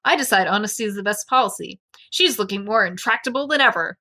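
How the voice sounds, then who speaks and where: A woman's voice: medium pitch, expressive, quick pace, sharp tone. A woman hosting a podcast, discussing a book casually and reading out quotes with an opinionated, analytical manner. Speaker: podcast host.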